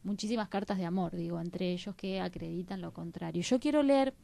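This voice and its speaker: A woman's voice: fairly low pitch, a little fast, gravelly, dark tone, no echo. An Argentine woman speaking as a panelist in a history discussion, sometimes reading out quotations.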